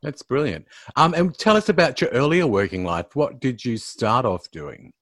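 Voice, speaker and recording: Male interview host. Animated, swooping pitch, close and dry sound. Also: normal speed, dry recording. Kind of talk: scripted guest introduction and interview questions.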